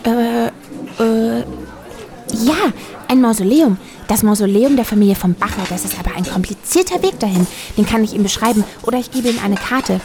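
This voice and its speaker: A rambling, earnest hotel receptionist. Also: female voice, on the high side, expressive, a little fast, crowd noise. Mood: helpful, flustered. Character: eager, naive, lonely hotel receptionist woman, over-detailed. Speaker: actor in an audio drama. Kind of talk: German audio drama acting.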